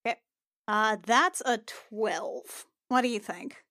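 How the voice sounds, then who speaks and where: A woman's voice: fairly high pitch, slow, sharp tone, no room sound, studio quality. A woman talking casually as a player in a tabletop roleplaying game, describing what her character does.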